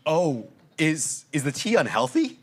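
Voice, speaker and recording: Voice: mid-range voice, very animated, a little slow. An actor playing an earnest, lofty ancient Greek philosopher in a live sci-fi comedy, formal and pedantic in speech. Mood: prim, doubtful. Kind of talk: live staged science-fiction audio comedy.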